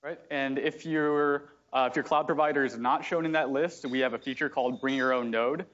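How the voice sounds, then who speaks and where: A man explaining software step by step in a live technical demo at a meetup, with frequent fillers. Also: medium pitch, fairly even intonation, brisk, dull audio. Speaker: software engineer giving a product demo. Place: meetup room at a company office.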